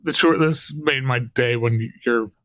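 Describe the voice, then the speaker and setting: A man's voice: mid-range voice, measured pace, fairly bright tone, dry recording. A man hosting a music podcast, introducing his guest with rambling enthusiasm. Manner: enthusiastic, rambling, many fillers. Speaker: podcast host.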